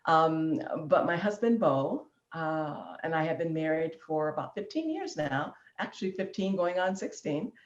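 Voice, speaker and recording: A woman's voice: deep voice, lively intonation, normal speed, few pauses. A woman in her fifties from Illinois, a guest in an online interview, talking in a chatty way with easy laughs and ums. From Illinois, USA. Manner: warm chatty, laughing easily, filler ums. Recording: roomy, dull lo-fi sound.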